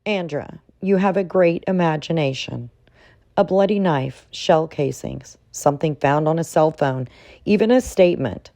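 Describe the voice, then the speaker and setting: A woman delivering scripted commentary in a formal, measured manner. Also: low voice, dark tone, dry recording.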